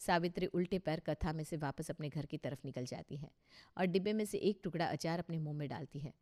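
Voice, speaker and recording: A woman's voice: sits low, a little fast, warm tone. Woman narrating a scripted story. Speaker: narrator and voice of characters.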